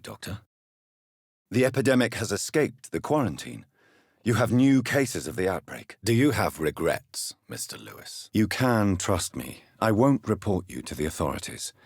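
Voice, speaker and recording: A man playing a grave, educated gentleman doctor and vampire in a video game, speaking formally and precisely, courteous but stern, in a husky low voice. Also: no room sound, studio quality, full character voice. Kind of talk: scripted video game character dialogue.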